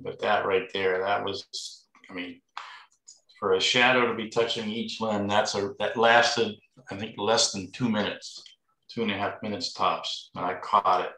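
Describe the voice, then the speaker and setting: A man with a creaky, gravelly voice and a bright edge explains his own images in casual chat. It is a video call with clear room echo around him. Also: deep voice. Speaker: amateur astrophotographer showing his images. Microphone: video call microphone. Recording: roomy, echoing video call.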